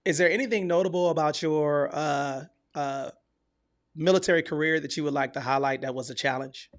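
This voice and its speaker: A man with a fairly high voice hosts a casual podcast interview. He talks informally and likes to banter, keeping things jovial as he puts his questions. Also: a little fast. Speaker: podcast host.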